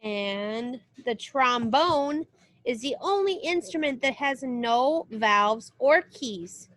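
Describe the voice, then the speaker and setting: A woman's voice: fairly high pitch, lively intonation, slow, fairly bright tone, rough recording. A woman music teacher reading a children's book aloud as a lesson, explaining things directly to young listeners. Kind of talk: read-aloud lesson with short spoken asides.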